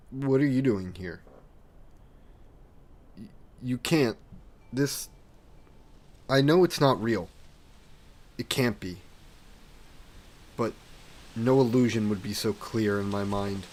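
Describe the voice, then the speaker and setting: A man voicing a grieving vampire king who talks slowly and with remorse about his past. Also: lowish voice. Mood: agitated. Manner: slow, brooding, remorseful. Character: grieving regretful vampire king, former ruler. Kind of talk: audio roleplay narration.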